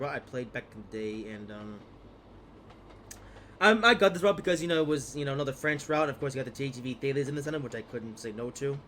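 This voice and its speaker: A man's voice: medium pitch, fairly bright tone, slight background noise. A young man with a slightly stuffed-up voice from a cold, casually commenting on his gameplay with many fillers and trailing asides. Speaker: gaming video host.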